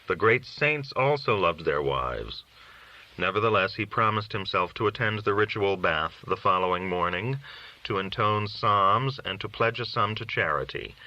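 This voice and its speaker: A man narrating a literary story in a measured, solemn reading style. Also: sits low, pushed and bright, lo-fi sound. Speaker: audiobook reader.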